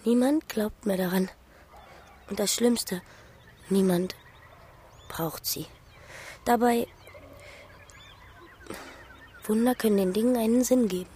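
A woman's voice: medium pitch, measured pace, long pauses, warm tone, slight background noise, lightly acted. Enigmatic, playful village woman played by an actress. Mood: earnest, mysterious. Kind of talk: naturalistic radio drama acting.

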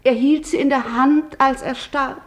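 Talky widow in an old radio play, kinda high voice, rambles then gets practical. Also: female voice, fairly even intonation, unhurried. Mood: brisk. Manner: rambling and musing, oddly practical. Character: chatty, grieving widow of a shoemaker. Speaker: widow of the shoemaker. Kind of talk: 1950s radio drama acting.

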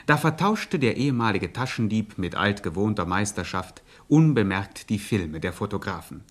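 A man's voice: on the low side, lively intonation, moderate pace. A man narrating a radio crime satire like a mock documentary, formal and precise.

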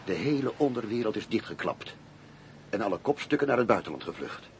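An actor playing a grave, determined police commissioner in a radio play, speaking in a serious, deliberate, formal way. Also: male voice, lowish voice, expressive, a little hiss or hum, close to an everyday voice. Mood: bitter, indignant.

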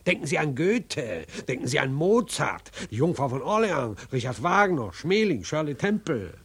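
An actor playing a pompous, patronizing theatre director, declamatory and condescending, in 1940s German radio drama style. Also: male voice, on the high side, sing-song, moderate pace, fairly bright tone, husky, exaggerated voice. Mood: lecturing. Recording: vintage 1940s radio recording.

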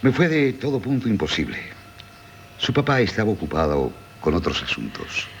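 An actor playing a courteous, kindly schoolmaster with formal manners in a Castilian Spanish radio drama. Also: male voice, sits low, big pitch swings, moderate pace. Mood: gently formal. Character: courteous, kindly male schoolmaster, older family friend. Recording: noisy 1970s radio broadcast.

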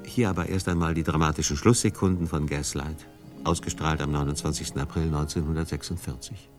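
Radio documentary narrator, man, notably deep voice, scripted read, light music underneath. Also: fairly even intonation, brisk.

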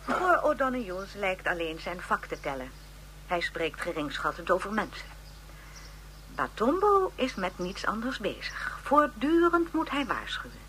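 Lively female encyclopedia narrator. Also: mid-range voice, slight background noise. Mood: informative. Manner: formal, expressive, measured storytelling. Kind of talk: scripted documentary narration in radio drama.

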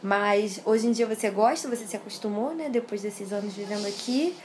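A woman's voice: medium pitch, a little fast, a little mellow, a little hiss or hum. A woman interviewer who asks friendly follow-up questions and keeps the talk going with short "uhum" replies. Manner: prompts with short backchannels, asks follow-ups.

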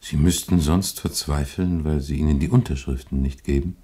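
A calm, placating man in a radio drama. His voice is extremely deep and very husky, gently dismissive. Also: moderate pace. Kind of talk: German radio play acting.

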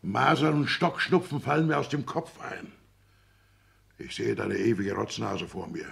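An actor playing an aggrieved, blustering older petty-bourgeois father with a gravelly, husky voice in a 1960s German radio play. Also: mid-range voice, lively intonation, unhurried, a bit bright, full character voice. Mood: grumbling, sceptical.

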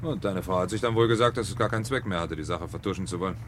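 A man's voice: sits low, racing, a little hiss or hum, lightly acted. Smug, menacing male fire captain played by an actor. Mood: scornful. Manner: mocking, pontificating. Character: smug, menacing fire captain lecturing his fallen subordinate. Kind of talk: 1970s German radio drama acting.

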